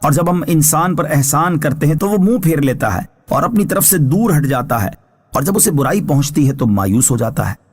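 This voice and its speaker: A man giving formal religious commentary as a scholar, reading prepared scripture translation and explanation in a measured, instructive way. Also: a little high, animated, slightly rough. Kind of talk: religious exegesis, read from a prepared text.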